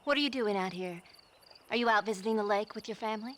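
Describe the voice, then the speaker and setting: A woman's voice: medium pitch, quick pace, slight background noise, close to an everyday voice. Woman playing a fretful, genteel wife. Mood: kindly. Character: fretful, devoted wife of a retired agent. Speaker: video game character.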